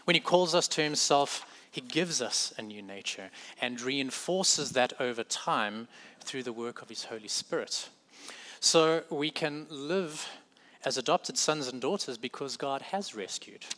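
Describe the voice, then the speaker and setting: A man's voice: mid-range voice, animated, unhurried, bright, forceful tone. A man with a South African accent preaching a sermon in a conversational way, correcting himself and adding light humorous asides.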